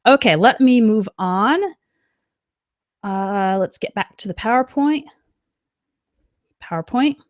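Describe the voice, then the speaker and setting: A woman teaching an English lesson on a live stream, reading out example sentences slowly and clearly as she answers a chat. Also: mid-range voice, no echo, clean studio sound.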